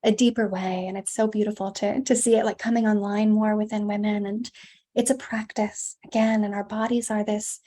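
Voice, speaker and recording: A woman's voice: mid-range voice, level tone, a little fast, mellow. A woman in an interview, talking in a flowing, emphatic way, often restarting words and adding "like". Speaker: guest, women's embodiment teacher. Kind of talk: conversational interview.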